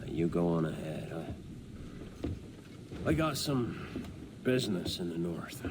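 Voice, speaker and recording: An actor playing a weary, menacing middle-aged pirate captain in a dramatic video game scene. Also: male voice, low-pitched, very animated, takes it slowly, very noisy, exaggerated voice. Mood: weary, resolute. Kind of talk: dramatic game cutscene acting.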